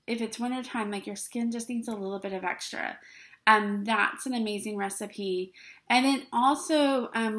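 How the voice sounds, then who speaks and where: Upbeat middle-aged woman, slightly high-pitched, casual chatty vlog delivery. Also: fairly bright tone. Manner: bubbly, chatty, many fillers and "okay?" check-ins. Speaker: essential oils vlogger. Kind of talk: casual talk to camera. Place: small dim indoor room.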